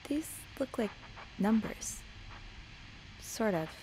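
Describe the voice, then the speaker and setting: A woman's voice: a little high, expressive, slow, warm tone, slight background noise, rough recording. A woman playing a nosy, anxious young hotel maid in a mystery game, with a hesitant, earnest manner. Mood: musing. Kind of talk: narrative mystery game acting, natural dialogue.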